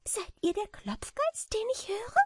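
A woman's voice: extremely high pitch, animated, a little slow, no echo, studio quality, pitched above the performer's own voice. A woman reading aloud voices a small, hungry female bat just woken from hibernation, singing and complaining in a fine, thin soprano. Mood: plaintive. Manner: complaining, sighing. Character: tiny weary female bat, sleepy and hungry. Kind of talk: storybook character voice.

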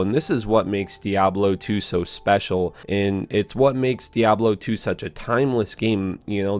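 A young man with a fairly low voice that sounds a bit hoarse and worn. He talks informally and earnestly to his gaming channel viewers, often circling back to repeat himself. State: hoarse, voice strained after a concert. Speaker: YouTube gaming channel host. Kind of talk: casual commentary to viewers. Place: small indoor room at home.

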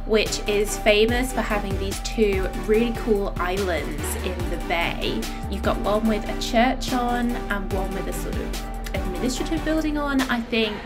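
Casting: female travel vlogger, informal and chatty voice-over, mid-range pitch, sitting under a loud music bed. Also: a little slow. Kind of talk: casual travel vlog voice-over. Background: loud background music.

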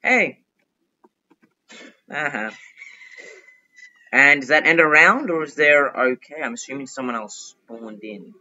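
A young man giving chatty, excitable commentary while playing a game, thinking out loud as he goes. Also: medium pitch, a little slow, pauses often, dull audio. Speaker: gaming youtuber. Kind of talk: casual gameplay commentary.

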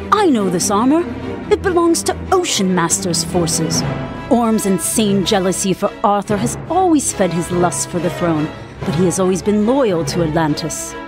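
A woman's voice: mid-range voice, close to an everyday voice. A woman playing a proud, dignified undersea warrior queen in a video game, speaking formally and regally. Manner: formal, regal, measured diction.

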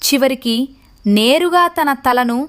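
High-pitched woman narrating a children's story. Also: expressive, takes it slowly, a little hiss or hum.

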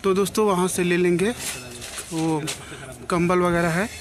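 A man's voice: sits high, little pitch movement, unhurried. A young man filming a casual travel vlog on a phone at arm's length, chatting to his viewers like friends from a train and station platforms. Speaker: travel vlogger. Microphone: phone held at arm's length. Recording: loud train noise behind.

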